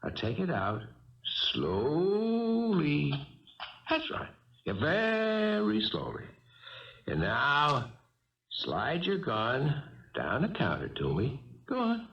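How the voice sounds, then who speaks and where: An actor playing a cool young armed killer in a radio drama, talking with mock politeness and quiet menace. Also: male voice, a little high, huge pitch swings, slow, long pauses, exaggerated voice. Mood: calm menace. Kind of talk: radio mystery drama acting. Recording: dull old radio recording, faint music.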